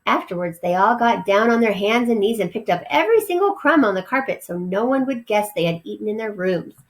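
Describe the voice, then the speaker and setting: A woman's voice: medium pitch, animated, fairly quick, close to an everyday voice, rough recording. A small male mouse from a children's storybook, acted out by a woman reader. He is eager and a little indignant, complaining and pleading his case like a youngster who wants his way. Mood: wistful.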